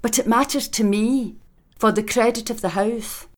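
A fretful, self-pitying landlady of an old country inn, put on by a male reader, pitched far above his own voice. She wails her troubles in old-fashioned country speech, piling complaint on complaint. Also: steady tone, moderate pace. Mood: aggrieved.

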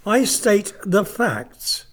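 A man's voice: high voice, very animated, very slow, voice pushed up, full character voice. A man voicing a sly, mocking old nobleman who drawls with sudden harsh bursts.

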